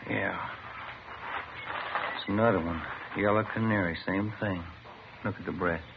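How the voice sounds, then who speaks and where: An actor playing a plain-spoken, puzzled police detective in a 1950s radio drama, with a folksy, understated delivery. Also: male voice, low-pitched, steady tone, a little slow, gravelly. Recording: noisy, dull vintage 1950s radio recording.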